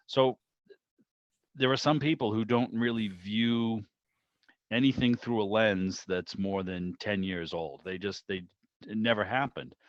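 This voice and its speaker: A man's voice: lowish voice, no room sound. A middle-aged man hosting a club meeting over a video call, chatting casually in a rambling, discursive way. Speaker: club meeting host, interviewer. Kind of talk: casual conversational commentary. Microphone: video call microphone. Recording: dull lo-fi video call audio.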